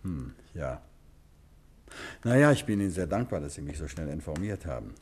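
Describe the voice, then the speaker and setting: A calm detective with a lively voice. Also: male voice, low-pitched, slight background noise. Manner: calm, measured, quietly ironic. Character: composed male gentleman detective. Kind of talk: 1950s radio play acting.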